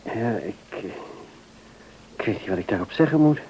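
An actor playing a courteous, hesitant music shop employee in a naturalistic radio play. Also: male voice, lowish voice, lively intonation, mellow. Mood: uneasy, hesitant. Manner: hesitant, halting, polite. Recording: hissy, noisy old radio play recording.

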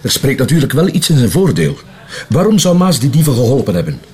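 With faint ambience behind him, a man plays a Flemish police detective in a crime drama. He is questioning and matter-of-fact, with a very varied, mid-pitched intonation. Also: big pitch swings, quick pace, a little mellow, a little hiss or hum, lightly acted. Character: reasoning, questioning male police detective colleague.

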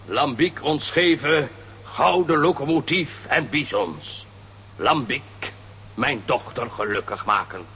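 A man's voice: medium pitch, takes it slowly, sharp tone, a little hiss or hum. A man playing a proud, stern chief who speaks in broken, clipped phrases in a children's audio drama. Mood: solemn.

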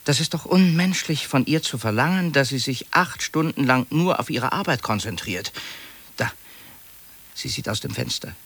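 A fussy middle-aged male office clerk in a 1950s radio play. His voice sits at a middle pitch. He confides hesitantly and anxiously, gently pleading and seeking agreement. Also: lively intonation, moderate pace, slightly rough. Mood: confiding, observing. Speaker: actor.

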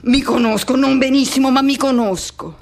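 Woman acting lonely haunted middle aged guy, radio drama monologue, introspective and colloquial, voice on the high side. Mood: brooding, uneasy. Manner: colloquial, introspective, halting repetitions.

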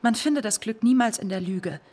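An actress in a German radio drama playing a virtuous married bourgeois lady, measured, reserved and coolly moralising. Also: medium pitch, mellow, close to an everyday voice. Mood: restrained, firm.

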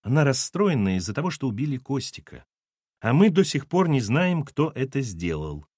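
Casting: wry, dogged husband male narrator acting, mid-pitched, insistent, plain-spoken, audiobook. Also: big pitch swings, moderate pace, a little mellow, no echo, clean studio sound. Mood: explaining. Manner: blunt, insistent, dryly ironic. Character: dogged, blunt husband questioning his household, wry.

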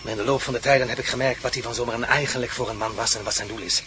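A man's voice: mid-range voice, steady tone, racing, noisy background, lightly acted. A man playing a courteous, earnest Prussian nobleman with a German accent in a Dutch radio drama. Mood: earnest, explaining. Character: courteous Prussian nobleman, defector from a villain's camp.

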